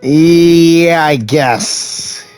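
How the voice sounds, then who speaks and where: A woman voicing a calm, friendly young traveler in an anime game, with an easygoing, reassuring manner. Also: sits low, drawn-out words, rough recording. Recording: music behind the voice.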